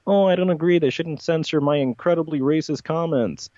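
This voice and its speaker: A man's voice: on the high side, fairly quick, warm tone, no room sound, muffled recording. A man giving unscripted interview answers as a podcast guest, explaining at length in an emphatic, rambling way with repeated phrases.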